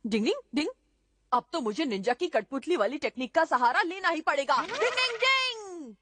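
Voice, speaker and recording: A woman voicing a dutiful, excitable young boy ninja with a childlike sing-song manner in a cartoon dub. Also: high voice, normal speed, exaggerated voice. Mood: determined. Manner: peppers talk with sing-song catchphrases.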